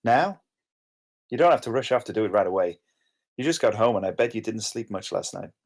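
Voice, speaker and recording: A man's voice: lowish voice, expressive, fairly quick. A man playing a blunt, casual young roommate, defensive but caring, in an informal screenplay table read. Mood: urging.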